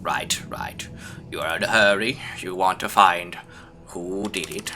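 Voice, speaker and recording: A man voicing a cagey, gruff old informant. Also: sits low, sharp tone, faint music behind, exaggerated voice. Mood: guarded. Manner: cagey, lecturing, speaks slowly. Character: gruff older man who knew the hero's late father. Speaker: game master voicing a character.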